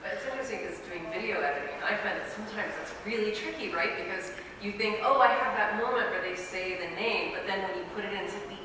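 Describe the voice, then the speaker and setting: Woman, casual conversational remarks, far from the mic, heavy echo, clearly noisy background. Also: mid-range voice, fast talker, few pauses. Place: indoor convention room, far from microphone. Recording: distant, very echoey hall with noise.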